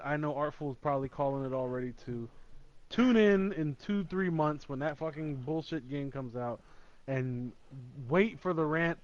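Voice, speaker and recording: A man's voice: mid-range voice, darkish tone. A man co-hosting a gaming podcast, talking in an emphatic, profane, ranting way. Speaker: podcast co-host. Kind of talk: casual gaming podcast chat. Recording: dull lo-fi mic.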